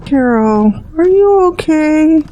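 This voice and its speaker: A woman plays a caring wife consoling her friend in an audio drama. Her highish voice is mellow, and she speaks slowly and reassuringly. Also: expressive, low-quality recording. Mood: comforting. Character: comforting, caring wife and loyal family friend.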